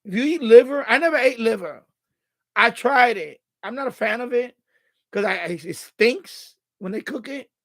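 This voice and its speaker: A man's voice: very high-pitched, animated, normal speed, a bit bright, no room sound. A man from the Bronx, chatting casually as a live stream host, thinking aloud and repeating himself. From Bronx, New York City, USA.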